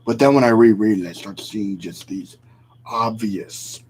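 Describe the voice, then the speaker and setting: A man's voice: on the low side, unhurried, a little mellow, a little hiss or hum, rough recording. A man vlogging in a casual, rambling rant, opinionated and often correcting himself mid-sentence.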